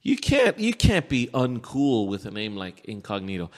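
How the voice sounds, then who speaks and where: A man's voice: fairly low pitch, wildly swooping pitch, moderate pace. Man, sports podcast host, blunt, opinionated, crude joking banter, long room reverb.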